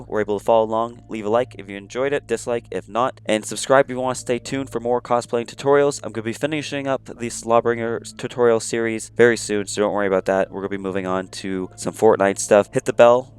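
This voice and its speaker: A sick man with a raspy, monotone voice giving step-by-step instructions in a voice-over for a craft tutorial. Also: on the low side. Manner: plain step-by-step instructions, many "you're gonna". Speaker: cosplay prop maker, channel host. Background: faint music.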